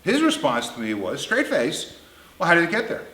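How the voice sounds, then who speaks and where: A man in his fifties tells comic stories in a roomy, echoing space. His delivery is lively and swings around in pitch. He is blunt, crude and emphatic. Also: normal speed. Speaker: storyteller. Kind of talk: comedic anecdote storytelling. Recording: roomy, echoing indoor sound.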